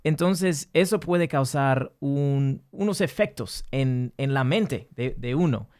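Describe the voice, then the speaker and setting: A man co-hosting a podcast, asking questions in a halting way. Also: medium pitch, animated, a little mellow, smooth, no echo, clean studio sound. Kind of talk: casual interview.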